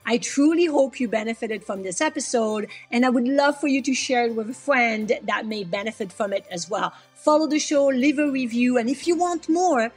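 A middle-aged woman with a French accent, speaking in a slightly high voice. She teaches in a relaxed, conversational way and keeps checking in with her listeners as she explains. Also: normal speed, hardly any pauses, husky, faint music behind. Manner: friendly, explains simply, asks listeners "make sense?". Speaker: podcast host and acupuncture teacher. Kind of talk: casual teaching monologue.

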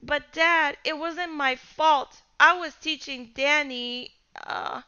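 A woman's voice: high-pitched, slow pace, bright, forceful tone, voice pushed up, exaggerated voice. Lady doing a worried teen boy in a story, muffled sound. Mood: anxious. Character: teenage boy, anxious and hurried.

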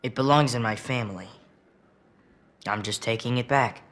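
A man's voice: mid-range voice, normal speed, fairly bright tone, lightly acted. An actor playing a wary, cocky, bookish American teenage boy in a naturalistic video game scene, blunt and earnest. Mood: proud, guarded.

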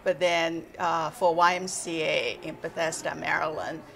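Brief: woman in her sixties, exercise teacher, slow and gravelly, halting. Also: deep voice, little pitch movement, fairly bright tone. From born in China, raised in Taiwan. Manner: halting, with frequent uh fillers and simple grammar. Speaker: exercise instructor. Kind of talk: casual interview. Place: indoor library room, seated in armchair.

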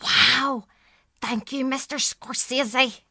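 Woman as a high-pitched, sweet little boy. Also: steady tone, slow, gravelly, sharp tone, dry recording, clean studio sound, exaggerated voice. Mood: awed, pleading. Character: small, sweet, wide-eyed, polite little boy. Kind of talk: comic radio drama acting.

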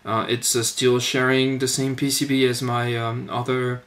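A man giving an informal demonstration of his electronics project, speaking in a hesitant, halting way. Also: mid-range voice, little pitch movement, a bit bright. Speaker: electronics hobbyist presenting own board. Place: indoors at a workbench. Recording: noisy webcam-style audio with clicks.

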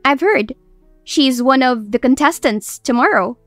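A woman's voice: sits high, expressive, measured pace, fairly bright tone, no echo, faint music behind. A woman voicing a haughty, teasing adult sister in audiobook dialogue.

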